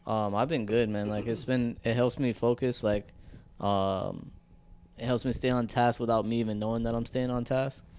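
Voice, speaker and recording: A man's voice: mid-range voice, fairly even intonation, moderate pace, a little mellow, clean tone. A young man co-hosting a podcast, telling stories in loose, profane slang. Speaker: podcast co-host. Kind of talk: casual chat.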